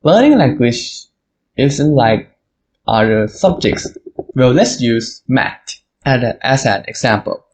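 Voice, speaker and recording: Young thai guy hosting a solo podcast in english, thai accent, earnest, explains stuff straight to you, mid-pitched voice. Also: very animated, slow, a little mellow, a little hiss or hum, low-quality recording. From Thailand. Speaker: podcast host. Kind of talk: solo podcast monologue on language learning.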